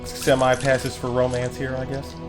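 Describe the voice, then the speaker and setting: A man's voice: medium pitch, monotone, normal speed. A man streaming a video game, giving casual, offhand commentary that loosely explains what is going on. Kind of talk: casual let's play commentary. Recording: voice over game music. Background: game music.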